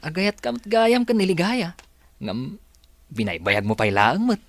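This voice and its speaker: A man's voice: high-pitched, huge pitch swings, unhurried, full character voice. A man acting a friendly, jovial, encouraging married village man in an Ilocano radio comedy-drama, with an Ilocano accent. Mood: jovial, teasing.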